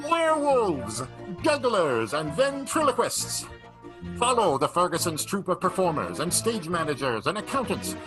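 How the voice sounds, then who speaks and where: A man's voice: high voice, poor audio. A man announcing a comic radio show in a dramatic, playful, showy narrator's style. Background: plucked guitar music.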